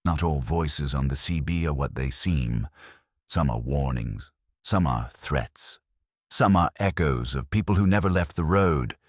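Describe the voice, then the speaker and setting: A man's voice: rumbling low, expressive, a little slow, breathy edge, no echo, studio quality. A man narrating scripted first-person trucker horror tales in a dramatic, suspenseful way.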